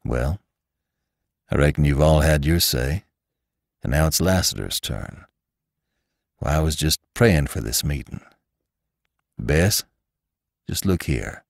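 A man putting on a soft-drawling old gunman. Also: a bass voice, lively intonation, vocal fry, dry recording, clean studio sound, pitched below the performer's own voice, exaggerated voice. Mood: gentle. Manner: slow, tender, folksy. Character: gentle older Western gunman, man.

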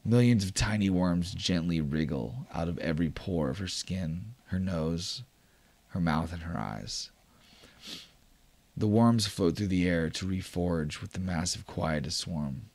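A man with a very low voice narrates a tabletop game as its game master. He paints vivid scenes and leans on casual fillers as he goes. The recording is dry and close. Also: a little slow, a little mellow, no room sound.

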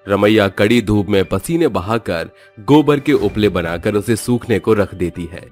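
Lively-pitched man narrating a cartoon story. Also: unhurried, faint music behind.

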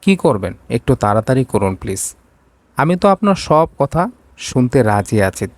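A man's voice: mid-range voice, big pitch swings, moderate pace, darkish tone, no room sound. A man reads the part of an anxious, dutiful young woman in a romantic audio story. The manner is polite and submissive, in a dry, close recording. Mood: pleading.

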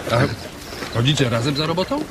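A man playing an easygoing, self-assured ranch boss in a radio drama, calm and matter-of-fact. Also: on the high side, brisk. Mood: friendly. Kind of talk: Polish radio drama acting. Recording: noisy, birdsong ambience behind.

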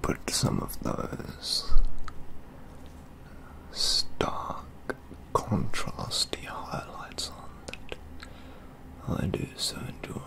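Very slow, whispering young man, ASMR roleplay. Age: young adult. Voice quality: breathy, mostly whispered. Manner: slow, mannered, theatrical, genteel phrasing. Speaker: ASMR roleplay performer playing a model painter. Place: indoor studio with plain backdrop.

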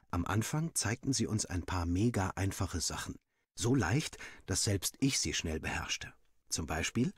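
A man reading a first-person story like an audiobook, expressive and playful with boyish indignation. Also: deep voice, husky, no echo, clean studio sound. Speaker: story narrator. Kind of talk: animated first-person scripted narration, like an audiobook.

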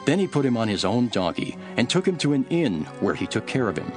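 An actor playing a calm, authoritative male teacher telling parables in an earnest, deliberate scripture drama. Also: mid-range voice, over music, lightly acted. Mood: measured storytelling. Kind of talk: dramatised scripture reading, measured storytelling.

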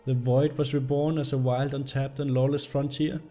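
Slightly hoarse man commenting on gameplay. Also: medium pitch, moderate pace. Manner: reads the story text aloud in an even narrating tone. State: hoarse from illness. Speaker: gameplay video host.

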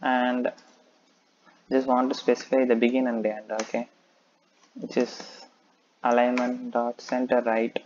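A man's voice: medium pitch, level tone, a little slow, long pauses, a little mellow, lo-fi sound, a little hiss or hum. A man talking through a coding tutorial, explaining step by step and thinking aloud with frequent "okay". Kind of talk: screen-recorded coding tutorial.

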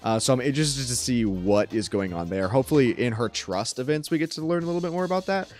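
A man's voice: medium pitch, lively intonation, fast talker, soft background music. A young man doing casual, enthusiastic and rambling reaction commentary as a streamer. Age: young adult. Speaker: streamer reacting to a game trailer. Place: small room at home. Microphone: close desk microphone.

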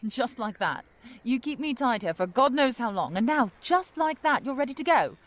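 An actress playing a feisty, skeptical, indignant woman in an audio drama, sharp-tongued and sarcastic. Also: a little high, very animated, normal speed, no room sound.

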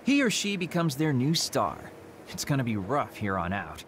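Breezy, swooping young-soldier banter. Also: male voice, mid-range voice, wildly swooping pitch, normal speed, a bit bright, slight background noise. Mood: chatty. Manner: flippant, casual, playful. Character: breezy, teasing male veteran soldier. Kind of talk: anime game voice acting.

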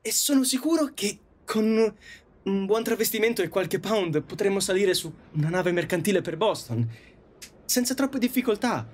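Audio drama young suitor, male actor, earnest and awkward, husky, wide-swinging pitch, halting delivery. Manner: stammering, halting. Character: awkward, earnest young man next door in love.

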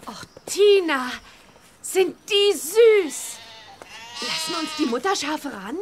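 A spirited young witch girl in a children's radio play. The voice is very high and swoops eagerly, confident and girlish. Noisy effects with animal sounds sit behind it. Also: lively intonation, slow. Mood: tender, delighted. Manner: bright, eager, chanting spells. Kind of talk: children's audio drama acting.

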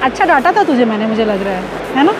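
A woman's voice: a little high, sing-song, quick pace, over a noisy crowd, very noisy. A woman chatting casually and playfully in an informal, teasing way, as a travel vlogger. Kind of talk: casual, playful vlog chat. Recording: outdoor vlog with music underneath.

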